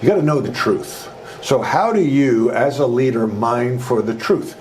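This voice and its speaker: A man in his sixties, a former basketball coach and author, telling leadership stories in a loud, emphatic way as a podcast guest. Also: mid-range voice, normal speed, a little hiss or hum. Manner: loud, emphatic, animated storyteller. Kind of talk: conversational storytelling interview.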